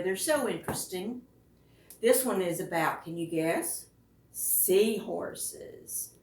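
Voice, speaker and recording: Female children's storytime reader: slow, animated, question-led delivery for preschoolers, recorded from a distance in a roomy space. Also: medium pitch, slight background noise. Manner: expressive, addresses young listeners with questions. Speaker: children's librarian reading at storytime. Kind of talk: picture-book read-aloud for preschoolers. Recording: roomy echo, distant microphone.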